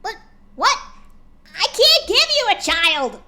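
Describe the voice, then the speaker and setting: A woman voicing a brash, sporty young female pegasus pony who talks loudly and energetically. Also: very high-pitched, slow, heavy background noise, voice pushed up, full character voice. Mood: panicked. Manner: loud and energetic.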